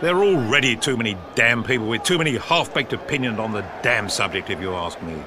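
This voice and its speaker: An actor playing a gruff, opinionated senior government official in a period audio drama, clipped, dry and authoritative. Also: male voice, mid-range voice, expressive, quick pace, close to an everyday voice. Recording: outdoor sports crowd and noise behind.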